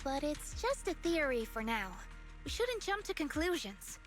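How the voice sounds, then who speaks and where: A woman voicing an earnest young illusionist in an anime-style video game, speaking in a gentle, thoughtful, explanatory manner. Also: sits high, very animated, measured pace. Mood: thoughtful, serious. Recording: music behind the voice. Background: background music.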